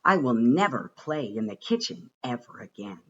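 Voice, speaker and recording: A man reading a rhyming children's storybook aloud with a rhythmic, expressive and deliberate delivery. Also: medium pitch, dull audio. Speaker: reader of a children's picture book.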